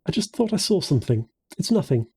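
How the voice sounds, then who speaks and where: A man's voice: high voice, sing-song, fast talker, mellow, slightly rough, no room sound, pitched above the performer's own voice, full character voice. A man voicing an awkward, anxious young woman in an audiobook, hesitant and nervous.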